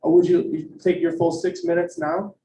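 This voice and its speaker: A man chairing a public planning meeting, reading the procedural rules in a measured, formal way. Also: fairly high pitch, flat delivery, mellow, recorded from a distance, a little hiss or hum. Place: meeting hall. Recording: dull, roomy audio from off-mic.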